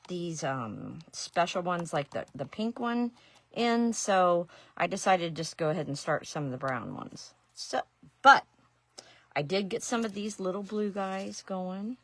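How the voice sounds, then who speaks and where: Low-voiced Ohio woman chatting while crafting. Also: lively intonation, measured pace. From Ohio, USA. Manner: chatty, rambling, self-deprecating asides. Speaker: resin artist and painting teacher. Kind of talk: casual live craft stream.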